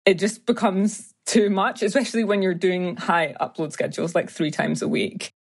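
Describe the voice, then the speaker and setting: A woman's voice: fairly low pitch, normal speed, slightly rough. A woman in her thirties from Scotland with a Scottish accent, chatting casually as an interview guest, full of fillers and light laughter. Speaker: guest, YouTube creator.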